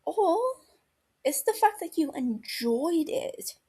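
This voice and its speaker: A woman voicing a calm, concerned young man in an audiobook, speaking softly and carefully to placate a friend. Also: sits high, slow, pitched above the performer's own voice. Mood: gently probing.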